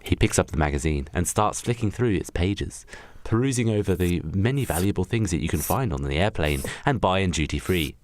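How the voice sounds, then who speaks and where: Casting: male narrator for amateur comedy audio drama, lowish and very animated, emphatic, faintly noisy homemade recording. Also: brisk, few pauses. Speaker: podcast narrator. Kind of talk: improvised comic storytelling for a radio play.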